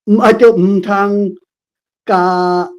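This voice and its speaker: A woman's voice: medium pitch, fairly even intonation, extremely slow, a little mellow. A woman with a Taiwanese accent reading a script aloud hesitantly, sounding out words piece by piece over an online call. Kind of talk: halting read-aloud. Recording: dull lo-fi online call audio.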